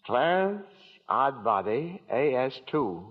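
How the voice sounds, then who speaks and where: An older man playing a gentle, naive apprentice angel in a 1940s radio drama, with a quaint, genteel, halting manner, on a thin vintage recording. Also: mid-range voice, sing-song, slightly rough, long reverb tail, phone-quality sound, heavily acted. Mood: mild, earnest. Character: gentle, naive, earnest old apprentice guardian angel, male.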